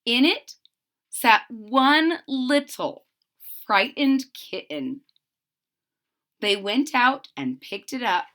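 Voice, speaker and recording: A woman with a bright, slightly high voice reads a storybook to young children. She is expressive and unhurried, taking time to explain in simple words. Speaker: storybook reader.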